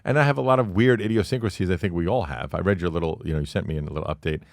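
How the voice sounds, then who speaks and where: A man's voice: low-pitched, very fast, vocal fry, darkish tone, dry recording. A man in his late forties, a guest on a podcast, talking in a blunt, profane, brash storytelling way. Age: 40s. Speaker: podcast guest, comedy club owner. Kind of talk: casual podcast chat.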